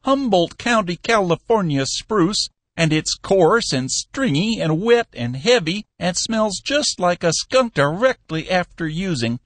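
A man voicing a blustery, excitable old company boss for an audiobook, exclamatory and emphatic. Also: sits high, unhurried, hardly any pauses, no echo, voice pushed up, exaggerated voice. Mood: excited. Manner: exclamatory, emphatic, folksy oaths. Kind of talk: audiobook character voice.

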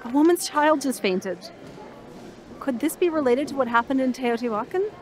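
Bewildered woman traveller for radio play, played by an actress, fairly high, very expressive, naturalistic. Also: moderate pace. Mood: concerned. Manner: expressive, questioning. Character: curious, bewildered woman traveller documenting events. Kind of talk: naturalistic audio drama acting.

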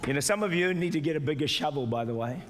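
An older man preaching a sermon as pastor, exhorting the congregation, sometimes shouting and mimicking doubters in a higher voice. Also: fast talker. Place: large church auditorium with stage. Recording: live church hall reverb with music. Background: soft music and congregation responses.

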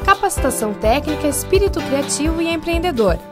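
A woman's voice: sits high, lively intonation. A woman reading a promotional voice-over for a student newscast. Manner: promotional, brisk. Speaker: announcer. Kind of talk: scripted voice-over. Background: music.

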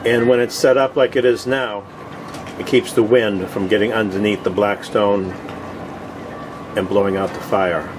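A man giving a casual video tour in short, halting, plainspoken phrases, recorded outdoors on a boat deck with a handheld camera. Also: fairly low pitch, fairly even intonation. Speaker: host of a cooking vlog. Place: open deck of a boat on the water. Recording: outdoor ambient noise.

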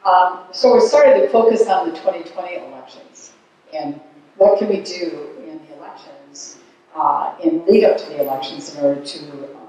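An older woman, around her seventies, giving an earnest, measured report to a church meeting through a handheld microphone. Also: deep voice, mellow. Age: older, 70s. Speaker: ministry committee member giving advocacy report. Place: large church sanctuary, indoors. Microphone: handheld microphone at lectern. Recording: echoey church hall, distant mic.

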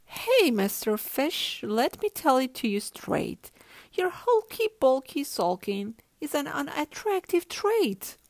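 A straight-talking octopus from a children's storybook, performed by a woman. It scolds bluntly and emphatically in a high voice with big swoops of pitch. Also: very animated, slow pace, dry recording, heavily acted. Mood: scolding. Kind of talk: children's storybook read-aloud.

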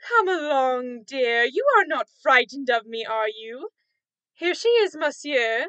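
Woman acting sharp, high-voiced greedy innkeeper's wife. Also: lively intonation, a little slow, clear, resonant tone, no echo, muffled recording, heavily acted. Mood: falsely sweet. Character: greedy, callous innkeeper's wife with false sweetness. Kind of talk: radio drama acting.

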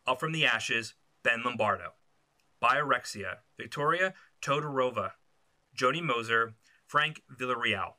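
Very bright, forceful male presenter. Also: medium pitch. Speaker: video production company owner, award presenter. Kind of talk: scripted presentation to camera. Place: small indoor editing room.

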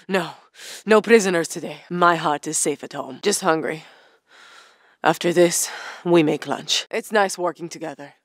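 A woman's voice: moderate pace, no room sound, studio quality. An actress playing a tough female guerrilla fighter, barking short combat callouts in a video game. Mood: low, calm. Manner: barked combat callouts, then low calm asides. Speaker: game soldier character.

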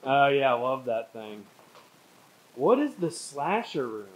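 A woman's voice: very deep voice, very animated, slow, very noisy. Upbeat chatty woman touring an old mill. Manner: upbeat, chatty, keeps calling others by name. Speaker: distillery owner giving a tour. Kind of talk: casual walkthrough commentary. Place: large empty old mill building, indoors.

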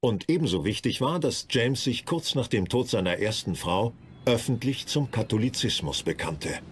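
A man's voice: mid-range voice, expressive, moderate pace, slightly rough. A man narrating a historical documentary from a script.

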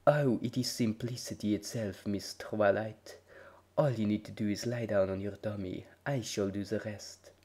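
Male reader as a hushed, courteous spa pony; low, soft, formal. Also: fairly even intonation, normal speed, natural voice. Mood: soothing. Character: polite composed female spa pony.

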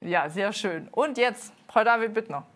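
A woman's voice: mid-range voice, animated, a bit bright. Earnest woman hosting a studio show. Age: 30s. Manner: earnest, halting with many fillers. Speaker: host and dance team leader. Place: TV studio set. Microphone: lapel mic.

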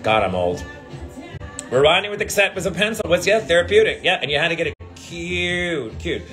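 A man's voice: a little high, expressive, brisk, fairly bright tone, faint music behind. A man in his forties chatting on a phone livestream, brash, profane and sardonic. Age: 40s. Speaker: drag performer livestreaming. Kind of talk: casual livestream chat with viewers. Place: indoor hotel hallway, then elevator. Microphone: phone at arm's length.

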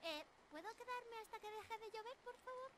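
A woman voicing a young squirrel monster girl in an anime-style ASMR roleplay, excitable, ditzy and stammering. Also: very high voice, moderate pace, background noise. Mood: timid, pleading. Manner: bubbly, excitable, stammering, giggly.

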